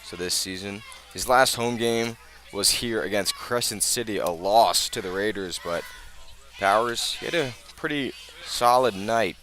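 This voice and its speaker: An American man doing live play-by-play radio commentary of a high school football game. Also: a little slow, fairly bright tone, a little hiss or hum. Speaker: play-by-play announcer.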